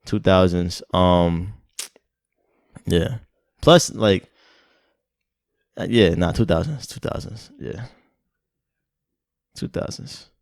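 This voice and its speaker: A man's voice: sits low, slow pace, gravelly, long pauses, no echo, studio quality. A young man co-hosting a podcast in casual chat, laid-back and hesitant, with many fillers. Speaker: podcast co-host.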